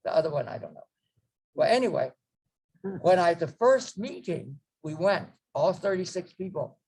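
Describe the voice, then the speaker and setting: A man, telling his life story to a group, rambling and halting with many fillers and restarts. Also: high voice, sing-song, a little mellow, breathy edge, low-quality recording. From China. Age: 90s. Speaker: guest speaker. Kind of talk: storytelling memoir.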